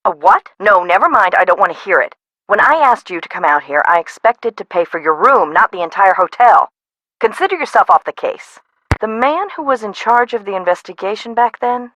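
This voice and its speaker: A woman's voice: mid-range voice, big pitch swings, moderate pace, a bit bright, no room sound, poor audio. An actress voicing a frazzled, no-nonsense woman who runs an amusement park in a video game, conversational and dry. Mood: exasperated, scolding, then brisk, confiding. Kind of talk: scripted video game voice acting.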